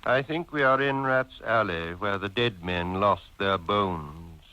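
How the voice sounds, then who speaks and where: A man giving a dramatic poetry reading with grave, deliberate phrasing. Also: fairly low pitch, sharp tone, highs cut off.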